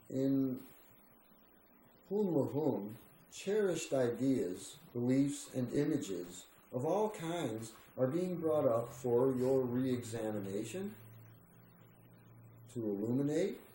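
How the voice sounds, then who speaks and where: A man giving a measured, solemn reading of a spiritual text, with deliberate pauses between short phrases. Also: mid-range voice, warm tone. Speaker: reader. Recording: roomy echo with faint background noise.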